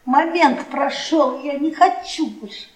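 High-voiced, domineering scornful wife. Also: female voice. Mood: bitter resignation. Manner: taunting, dramatic, deliberate. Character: domineering, scornful middle-aged hard-drinking wife. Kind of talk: theatrical radio play acting. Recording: echoey room, distant from mic.

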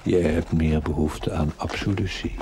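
A male actor playing a stern, pious inner conscience in a TV drama, speaking in a solemn, preachy, breathy whisper. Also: extremely low pitch, fairly even intonation, a little slow, noisy background. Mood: solemn reproach. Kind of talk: television drama acting.

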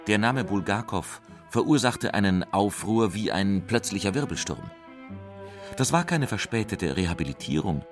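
Very deep, solemn male memoirist played by an actor. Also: lively intonation, normal speed, soft background music, lightly acted. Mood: solemn, emphatic. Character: writer recalling his dying friend, solemn, weighty male memoirist. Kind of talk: memoir reading in a radio documentary drama.

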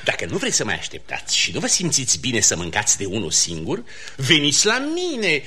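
An actor playing a haughty, evasive count in a theatrical radio comedy, speaking in pompous, placating, emphatic phrases. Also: male voice, mid-range voice, wildly swooping pitch, sharp tone, husky, heavily acted. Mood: condescending, amused. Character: haughty, evasive nobleman count, smooth-talking.

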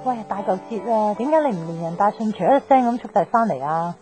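A woman's voice: mid-range voice, animated, fast talker, mellow, close to an everyday voice, poor audio. A woman playing a blunt, teasing, nosy young woman in a radio drama, with slangy banter and a Hong Kong accent. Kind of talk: 1990s radio drama acting. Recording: radio drama with music underneath.

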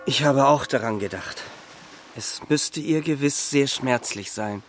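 An actor playing a kind, quietly heartbroken young shipwright in a radio drama, humble and restrained. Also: male voice, medium pitch, a little slow, a little hiss or hum, lightly acted. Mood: subdued, sad.

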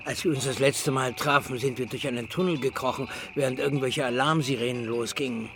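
An actor playing a fussy, methodical retired explosives expert in a German crime radio drama, speaking calmly and precisely. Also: male voice, medium pitch, brisk, noisy background, lightly acted. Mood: calm, informative.

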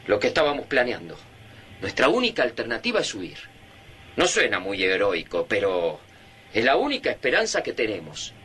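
A man's voice: fairly low pitch, wildly swooping pitch, normal speed, a bit bright, slightly rough, heavily acted. An actor playing a pragmatic, resolute man leading survivors of an alien invasion in a radio play, firm and urgent. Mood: grim, resolute.

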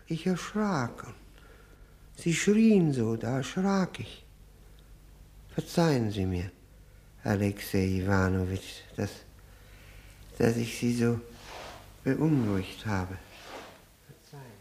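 Fawning, sly-meek widower: slow, halting, swooping pitch, vintage radio play manner. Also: male voice, animated, warm tone. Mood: cowed, apologetic. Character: obsequious, self-abasing, tipsy widower, meek yet sly. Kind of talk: 1960s German radio drama acting.

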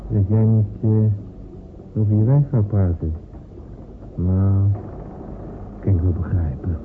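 Gruff laconic sailor buddy, talks real slow, old radio play with lots of noise. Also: male voice, lowish voice, fairly even intonation. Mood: gruff, probing. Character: gruff, patient seaman comrade, a listening confidant. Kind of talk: Dutch radio play dialogue. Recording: tinny, noisy old radio play recording.

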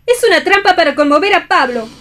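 A woman's voice: extremely high pitch, expressive, fast talker, sharp tone, heavily acted. An actress playing a jealous, romantic woman in a theatrical radio play. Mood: resentful.

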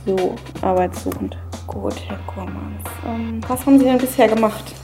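A woman's voice: medium pitch, huge pitch swings, moderate pace. A woman playing a blunt, officious job centre clerk in a naturalistic radio drama. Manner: officious, repeats answers back. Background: music.

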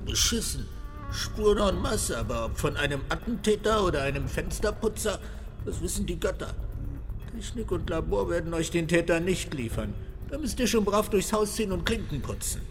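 Wry forensics veteran in radio drama, blunt, expressive swings in pitch, music underneath. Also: male voice, moderate pace. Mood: blunt, grumbling. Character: gruff, wry veteran police forensics technician. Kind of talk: naturalistic radio drama acting.

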